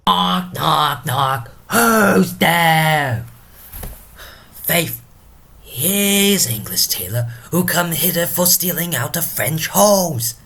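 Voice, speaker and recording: Drunken comic porter, played by a man: husky, exclamatory, theatrical Shakespearean soliloquy, rambling and dramatic. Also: fairly high pitch, lively intonation, slow pace, voice pushed up, heavily acted. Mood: loud, mocking. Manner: exclamatory, dramatic, swinging from loud calls to hushed muttering. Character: drunken, rambling comic castle porter playing at hell's gatekeeper.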